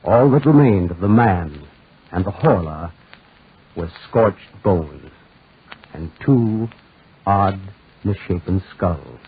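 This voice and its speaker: A man narrating a 1940s radio drama in a solemn, ominous manner with deliberate pauses. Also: sits low, lively intonation, slightly rough. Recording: tinny, narrow-band 1940s radio recording.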